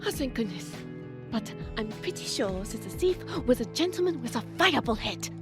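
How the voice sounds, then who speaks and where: Woman playing a very high-voiced sweet young lady. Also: big pitch swings, moderate pace. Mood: anxious. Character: sweet young lady, excitable and easily upset. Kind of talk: audio drama character acting. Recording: music under the voice.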